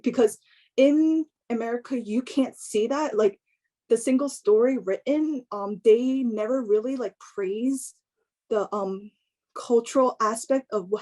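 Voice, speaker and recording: A young woman giving an informal personal talk in a hesitant, halting way, often filling in with "like". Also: a little high, mellow. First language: English. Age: young adult.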